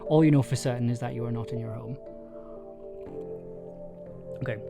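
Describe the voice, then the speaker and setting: A man's voice: medium pitch, steady tone, moderate pace, vocal fry. A man livestreaming in a casual, hesitant way, thinking aloud and reading from his own story draft. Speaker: streamer and audio drama writer. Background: chill soundtrack music.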